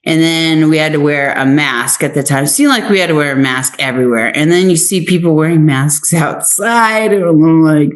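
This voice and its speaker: A woman's voice: low-pitched, moderate pace, few pauses. Chatty woman in a casual interview. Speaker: interview guest, actress and writer.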